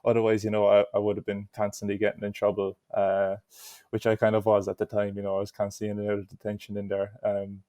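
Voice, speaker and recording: A man's voice: fairly low pitch, completely flat delivery, fast talker, mellow, no echo. A young Irish man answering interview questions in an easygoing way, with lots of "you know". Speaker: interview guest.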